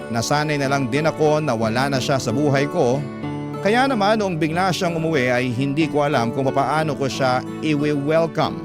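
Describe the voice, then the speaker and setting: A man with a Filipino accent narrating a scripted first-person radio drama story. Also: medium pitch. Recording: loud guitar music bed behind.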